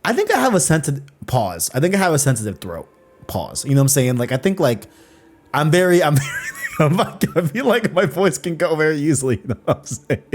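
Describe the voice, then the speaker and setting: A man's voice: on the high side, very animated, a little fast, a little hiss or hum. A man hosting a casual podcast, rambling and often repeating "you know what I'm saying.". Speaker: podcast host.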